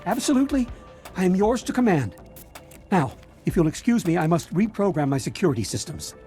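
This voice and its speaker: An anxious male colonel speaks in a high, mellow voice with swooping pitch. He sounds servile and nervous, in video game cutscene style. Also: sing-song, fairly quick, soft background music. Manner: obsequious, nervous.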